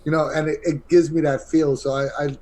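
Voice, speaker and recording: A man's voice: a little high, little pitch movement, a little fast, dark tone. A man co-hosting a casual podcast interview, talking in an appreciative way. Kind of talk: casual chat.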